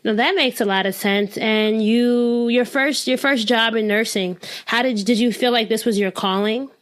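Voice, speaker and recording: A woman's voice: a little high, normal speed. A woman hosting a podcast, reading a promo and then interviewing a guest in an upbeat, friendly way. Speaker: podcast host.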